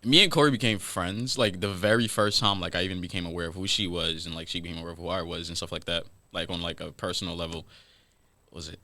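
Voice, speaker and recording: A man's voice: deep voice, dry recording. A man in his early twenties hosting a podcast, chatting casually and joking with a guest. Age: 20s. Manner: animated, quick and joking, drops to mumbles. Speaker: podcast host. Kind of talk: casual chat.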